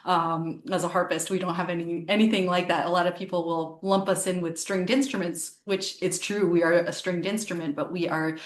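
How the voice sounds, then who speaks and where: A woman's voice: on the low side, level tone, brisk, hardly any pauses, echoey room. A woman harpist and singer from the United States, answering interview questions in a chatty way, thinking aloud with many ums and restarts. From Northern Virginia, USA.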